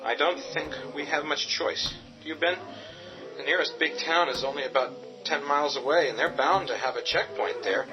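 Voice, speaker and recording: An earnest young man, voiced by a man, helpful and slightly hesitant, in a somewhat high voice. The audio is muffled, with loud music underneath. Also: normal speed, natural voice. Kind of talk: radio drama acting.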